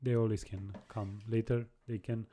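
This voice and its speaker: A young man chatting casually on a live coding stream, halting and restarting his sentences as he answers viewers. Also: fairly low pitch, flat delivery, warm tone. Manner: halting, many restarts and repeated words.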